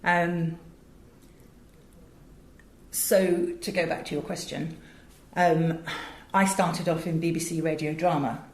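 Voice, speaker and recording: Low-pitched middle-aged British woman, roomy sound. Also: steady tone, slow pace, long pauses, darkish tone. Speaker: interview guest, audio director and drama school teacher. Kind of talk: conversational interview, anecdotal. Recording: roomy, echoey indoor sound.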